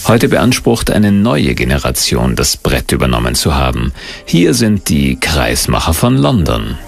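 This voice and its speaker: A man's voice: a bass voice, very animated, a little slow, gravelly, soft background music. A man narrating a documentary from a script in a dramatic, suspenseful manner.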